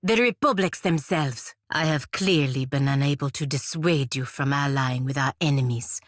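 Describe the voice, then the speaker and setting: Proud fantasy warrior woman, stern patriot. Low, dry-recorded voice, measured and formal game dialogue. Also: no echo. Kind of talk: scripted video game character dialogue.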